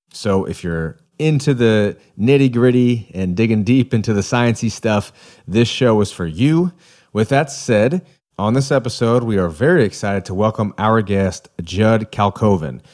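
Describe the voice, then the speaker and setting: A man's voice: lowish voice, moderate pace, darkish tone. A man hosting a podcast, reading an upbeat scripted intro and then chatting casually with jokes at his own expense. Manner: upbeat, joking, self-deprecating. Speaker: podcast host.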